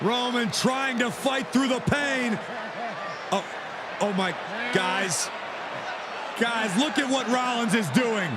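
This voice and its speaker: A man giving excited play-by-play commentary as a wrestling announcer. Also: very high voice, slow pace, pauses often, very noisy. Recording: loud arena crowd and music behind. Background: roaring crowd, music, slams.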